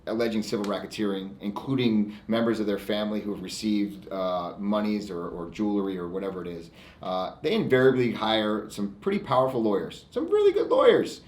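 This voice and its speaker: A man's voice: fairly low pitch, expressive, fairly quick, a little mellow. An American man, a lawyer presenting his own segment in an emphatic, defiant, informal monologue with frequent stops and repeats. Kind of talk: informal monologue to camera, reading out e-mails. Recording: echoey room.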